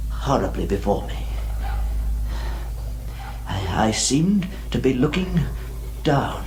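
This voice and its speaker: An actor playing a haunted gentleman who narrates a horror radio play, intense, with dramatic pauses. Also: mid-range voice, background noise. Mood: horrified.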